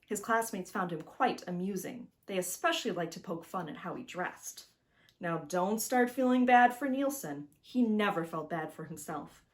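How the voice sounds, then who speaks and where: A woman narrating a children's storybook as its author, in an expressive, emphatic way that speaks directly to the listener. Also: lowish voice, moderate pace.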